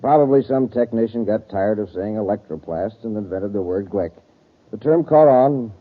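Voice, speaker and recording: Actor playing a husky, precise radio-serial scientist. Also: male voice, on the low side, moderate pace, natural voice. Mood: casual, courteous. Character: earnest male scientist, a doctor running a space project. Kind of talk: old-time radio drama acting. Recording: muffled, thin vintage radio recording.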